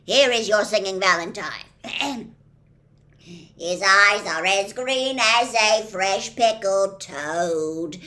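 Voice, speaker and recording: A woman voicing a grim, pushy male dwarf messenger who barks orders and sings a verse loudly. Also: mid-range voice, slow pace. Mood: sing-song. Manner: loud, barking delivery, breaks into sung verse.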